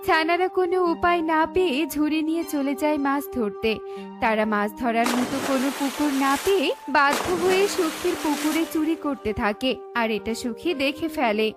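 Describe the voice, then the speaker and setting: High-pitched woman narrating a children's cartoon. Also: normal speed, over music. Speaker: story narrator.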